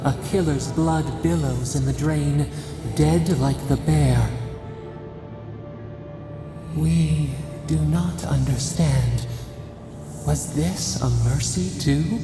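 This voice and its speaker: An actor voicing an ancient, eerie spirit that speaks in a cryptic, solemn, halting way in a video game. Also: medium pitch, gravelly. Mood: haunting, searching. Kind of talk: dramatic video game voice acting. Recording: echoing voice over music.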